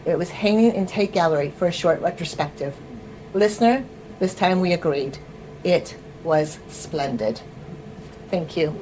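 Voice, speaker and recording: Woman in her 50s reading a memoir, wry, deliberate, talks right to the listener. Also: lowish voice, darkish tone, slight background noise, rough recording. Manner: wry, deliberate delivery addressing the listener directly. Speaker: writer reading her own memoir piece. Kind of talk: first-person memoir reading.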